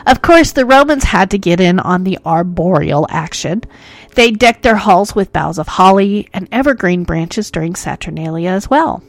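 A woman's voice: fairly low pitch, lively intonation, normal speed, no echo. A woman hosting a podcast who narrates history in a playful, self-mocking way full of jokes. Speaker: podcast host.

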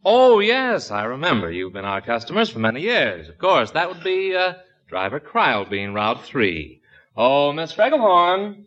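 A man's voice: fairly high pitch, sing-song, moderate pace, fairly bright tone. A man playing an officious, polite shop manager in a 1940s radio comedy, fussy and formal. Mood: businesslike. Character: officious, flustered, polite dry-cleaning manager. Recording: dull 1940s radio recording.

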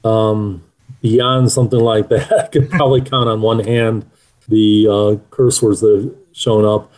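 A man's voice: lowish voice, fairly even intonation, unhurried, darkish tone, poor audio. A middle-aged man speaking as an author being interviewed, answering in a conversational way.

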